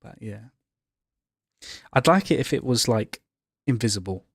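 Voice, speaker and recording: A man's voice: on the low side, lively intonation, unhurried, gravelly, slightly rough, dry recording, studio quality. A man chatting casually as he co-hosts a live gaming stream.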